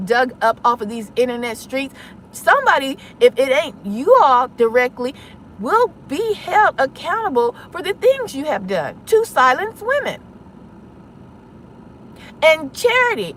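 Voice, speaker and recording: A woman's voice: sits high, a bit bright, slight background noise, rough recording. A woman delivering an impassioned public address online, insistent and confrontational, pressing her points with rhetorical questions. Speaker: online content creator.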